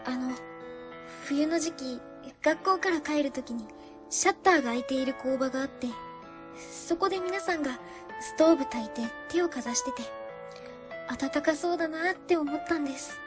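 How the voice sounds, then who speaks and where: A woman's voice: high-pitched, normal speed, over music, close to an everyday voice. An actress playing a shy young woman office worker in her twenties in a Japanese radio drama, meek, stammering and very polite. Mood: shy, flustered. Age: 20s.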